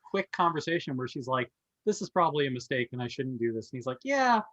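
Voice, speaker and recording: A man's voice: mid-range voice, very animated, fast talker, fairly bright tone, no room sound. A man co-hosting a casual review discussion, talking in an opinionated, conversational way. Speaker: podcast host.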